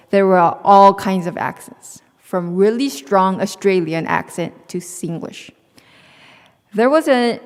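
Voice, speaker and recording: A woman's voice: lowish voice, darkish tone, long reverb tail. A young woman in her twenties with a Korean accent, earnestly telling her personal story to a gathering, with small restarts as she reads. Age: 20s. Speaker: student speaker. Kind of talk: prepared personal talk.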